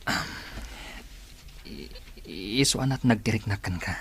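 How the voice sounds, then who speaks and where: A man's voice: fairly low pitch, expressive, measured pace, creaky, a bit bright, slightly rough, exaggerated voice. A man playing an earnest young suitor, hesitant and sincere, in an Ilocano audio drama. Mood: pleading, sincere.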